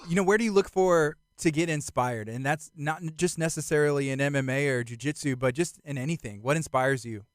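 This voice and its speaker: A man's voice: on the high side, fairly quick, hardly any pauses, no room sound, clean studio sound. A man hosting a casual interview, asking his guest questions in a conversational way. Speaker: interviewer, host.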